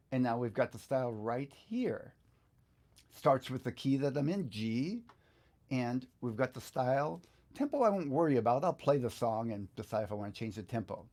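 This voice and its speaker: A middle-aged man giving a casual step-by-step tutorial, unhurried and informal, often thinking aloud as he works. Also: mid-range voice, darkish tone. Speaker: guitar teacher giving a software tutorial.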